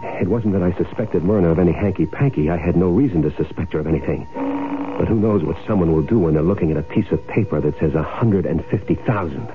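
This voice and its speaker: A man playing a low-voiced, hard-boiled crook. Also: fast, natural voice. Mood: scheming, eager. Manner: hard-boiled, bossy, slangy. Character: crooked, greedy, impatient man hiding out after faking his death. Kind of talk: old-time radio drama acting with first-person narration. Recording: muffled, narrow-band old radio broadcast with music.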